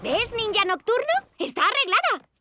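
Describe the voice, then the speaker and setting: Child superhero for animation: earnest and eager to help, bouncy high pitch. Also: sing-song, moderate pace. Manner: earnest, exclamatory. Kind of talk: animated children's series dubbing. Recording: loud music under the voice.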